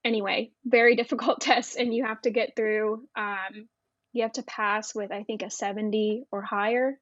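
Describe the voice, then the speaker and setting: A woman's voice: fairly high pitch, fairly even intonation, moderate pace, dry recording, muffled recording. A young American woman giving a casual, conversational explanation from her own experience as an interview guest. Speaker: interview guest, former Navy air traffic controller.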